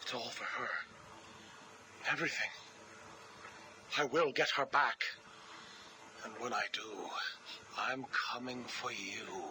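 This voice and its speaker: A male actor as a cold, vengeful scientist villain from a video game. He speaks slowly and gravely at a middle pitch, bitter with grief and devotion. Clear noise runs through the audio log recording. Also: lightly acted. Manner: slow, grave, deliberate recounting. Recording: noisy interview audio log.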